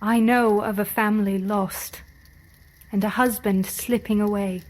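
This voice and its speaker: Compassionate brave wife, slightly high female voice, slow, measured, earnest, audio drama. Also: darkish tone.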